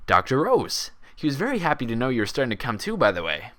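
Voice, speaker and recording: A man's voice: mid-range voice, wildly swooping pitch, fast talker, a bit bright, voice pushed up. Man voicing a chipper, condescending pony doctor. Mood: chatty, placating. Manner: chatty, placating, chuckling. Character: cheerful, condescending male pony doctor, falsely soothing.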